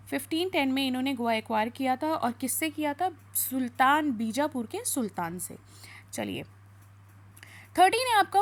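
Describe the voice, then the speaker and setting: A woman with an Indian accent speaks in a distinctly high voice. She is a coaching teacher going through exam questions and answers, instructing as she alternates explanation with reading aloud. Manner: instructive, switching between spoken explanation and slower reading aloud. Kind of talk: question-and-answer history lesson.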